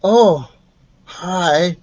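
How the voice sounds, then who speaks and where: A man's voice: sits high, extremely slow, a little mellow, voice pushed up, heavily acted, rough recording. A man voicing a kind young boy who feels ill, speaking in a listless, subdued way for a storybook.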